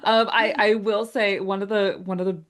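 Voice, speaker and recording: A woman's voice: mid-range voice, level tone, moderate pace. A woman talking casually as a guest writer on a podcast, explaining how a production was made. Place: remote video call.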